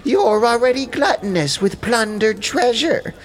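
Casting: an ugly malcontent trooper, shrill high voice, dragging each jeer slowly, spiteful epic audio-drama rabble-rouser. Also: male voice, expressive. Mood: sneering. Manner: drawn-out, railing, sneering.

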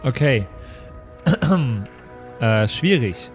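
A man's voice: mid-range voice, expressive, drawn-out words, faint music behind. A man playing a tabletop role-playing game, casually saying what his character does. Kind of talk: casual live tabletop play, speaking in character.

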